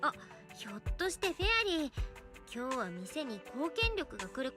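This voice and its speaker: A woman's voice: high-pitched, big pitch swings, fast, music in the background. An actress voicing a young female character in an anime-style game story. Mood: conversational.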